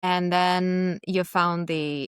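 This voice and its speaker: A woman hosting a podcast, asking relaxed questions and recapping what her guest says. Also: fairly low pitch, very slow, smooth, no room sound, clean studio sound. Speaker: podcast host. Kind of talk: casual interview questions.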